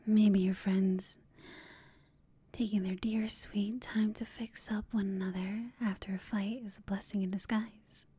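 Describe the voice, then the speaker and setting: Casting: actress as teasing female villain, ASMR roleplay. Very breathy whisper, soft mellow tone, coaxing and confident, close and dry. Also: mid-range voice, little pitch movement, a little slow. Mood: flirty, confident. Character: flirtatious, teasing female villain, confident yet secretly inexperienced. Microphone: close mic, dry.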